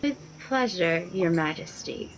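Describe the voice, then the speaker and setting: A woman's voice: fairly low pitch, lively intonation, a little slow, a little hiss or hum, rough recording. A woman playing a regal young noblewoman in a fantasy radio play, formal and declamatory. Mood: stern, accusing.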